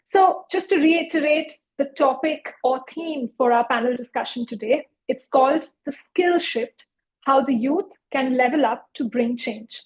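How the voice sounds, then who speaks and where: A woman's voice: sits high, a little slow, low-quality recording. A woman hosting a youth panel as moderator, speaking in an effusive, encouraging way.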